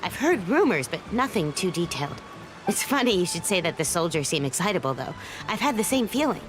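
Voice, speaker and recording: A chatty market vendor. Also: female voice, on the low side, big pitch swings, fairly quick, poor audio. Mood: friendly. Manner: chatty and gossipy. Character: friendly woman fruit and vegetable vendor. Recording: game audio with music.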